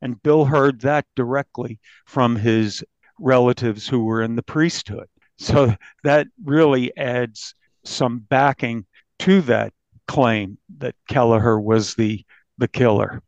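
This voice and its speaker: Male American reporter, interview recollection, stop-start phrasing, medium pitch, dull close recording. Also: darkish tone, dry recording. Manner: halting, repeats and restarts phrases. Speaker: newspaper reporter being interviewed. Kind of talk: recounting a case in an interview.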